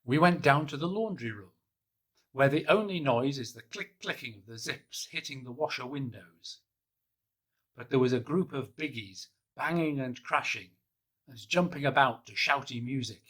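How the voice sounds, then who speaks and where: A man gently reading a children's picture book aloud in the first person, in a soft, hushed and unhurried way. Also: medium pitch, lively intonation. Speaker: storybook reader.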